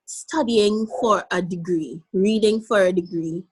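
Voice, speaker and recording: A young woman from Guyana with a mixed Guyanese and Caribbean accent, talking as a guest in a casual interview in an animated, playful way and laughing easily. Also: medium pitch, a little slow, a little hiss or hum, poor audio.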